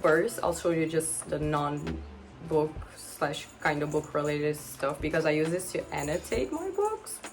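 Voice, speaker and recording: 20s portuguese girl chatting about her stuff, awkward, rambly, lowish voice. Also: expressive, unhurried, soft background music. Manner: awkward, rambling, laughs often. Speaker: book vlogger. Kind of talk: casual chat to camera. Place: home with pets around.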